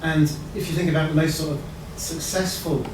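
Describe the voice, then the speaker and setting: A man in the audience making a reflective, unhurried comment at a panel discussion. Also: a little high, flat delivery. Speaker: audience member. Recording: echoey room from far off, background noise.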